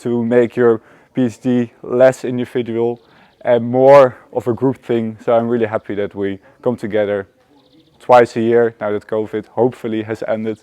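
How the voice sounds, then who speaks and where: A young man speaks in a mellow, dark voice, sounding relaxed as he introduces himself. The sound is close and dry. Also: medium pitch, normal speed, clean tone, no room sound. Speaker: PhD student. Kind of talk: short self-introduction to camera.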